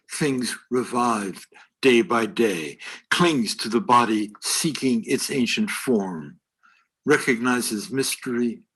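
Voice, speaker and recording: A man with a husky voice giving a slow, deliberate poetry reading. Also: mid-range voice, fairly bright tone, low-quality recording.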